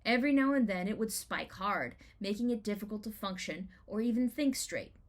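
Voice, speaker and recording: A woman writer reading her own personal essay as a dramatic reading, in an expressive, deliberate storytelling manner with emphatic rhetorical questions. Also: medium pitch.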